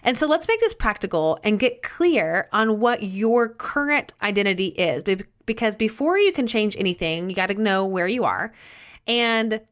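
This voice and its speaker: A middle-aged woman talks into a podcast mic, speaking at a mid pitch. Her solo talk is earnest and conversational. She often restarts a phrase and slows down hard on the words that matter. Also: animated. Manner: earnest, conversational, with many restarts and some slow, emphatic phrasing. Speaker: podcast host and life coach.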